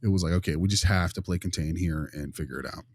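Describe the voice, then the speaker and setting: Man playing a halting low-voiced consultant, dry sound. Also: slightly rough, no echo. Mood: tactful, friendly. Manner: halting, hedging, rephrases questions. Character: friendly, tactful male school behavior consultant probing gently. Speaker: visiting behavior consultant.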